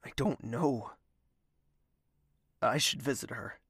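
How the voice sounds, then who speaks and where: A lovesick nobleman in an audio drama. His voice is gravelly and unhurried, recorded close and dry. He speaks in a hesitant, anxious, brooding way. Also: medium pitch, a bit bright, no room sound, heavily acted. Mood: anxious, defensive. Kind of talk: audio drama dialogue and first-person narration.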